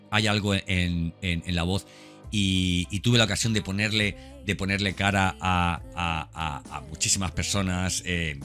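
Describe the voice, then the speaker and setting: Deep, bright-voiced Spanish man podcasting. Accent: Spanish, from Spain. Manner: conversational and enthusiastic, repeats words, seeks agreement with tag questions. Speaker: podcast host. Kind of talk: casual spoken podcast monologue.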